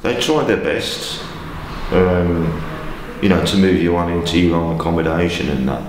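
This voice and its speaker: Man, 50s, very low voice, plain-spoken hostel resident, roomy hall sound. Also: normal speed. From England. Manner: plain-spoken, rambling with fillers. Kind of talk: documentary interview. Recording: echoey hall with faint noise.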